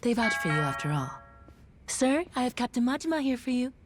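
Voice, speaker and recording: A woman's voice: a little high, sing-song, fairly quick, background noise. A woman playing a polite, professional attendant with a courteous, formal way of speaking.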